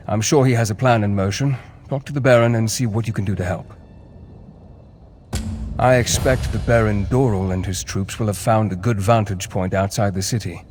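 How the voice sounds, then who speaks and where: A man playing a brisk, impatient scholar. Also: lowish voice, darkish tone, a little hiss or hum, natural voice. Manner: formal, curt, impatient. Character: learned male scholar advisor, brisk and impatient.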